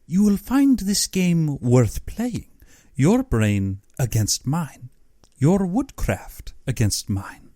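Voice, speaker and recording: A man performs a cultured, sinister aristocratic general from an audiobook. His pitch leaps well up as he toys with his prey, courtly and theatrical, savoring each slow phrase in a close, dry recording. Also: sing-song, warm tone, no room sound, full character voice. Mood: smooth, taunting. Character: urbane, cultured aristocratic general, gleefully sinister big-game hunter.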